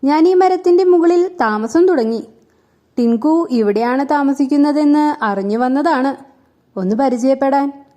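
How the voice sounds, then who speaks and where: A woman voicing a kind, sensible female bird in a children's story, speaking in a gentle way. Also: high voice, animated, unhurried. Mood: friendly.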